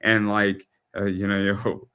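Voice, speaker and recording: A man in his thirties hosting a casual interview, who talks in quick bursts and repeats words as he gets worked up. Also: on the low side, clean tone. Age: 30s. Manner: rapid, stumbling repetitions when excited. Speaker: host.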